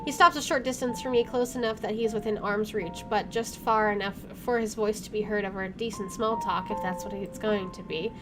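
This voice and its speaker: A woman's voice: medium pitch, steady tone, brisk, few pauses. A woman doing a let's play, reading game narration aloud and reacting expressively, with game music behind her.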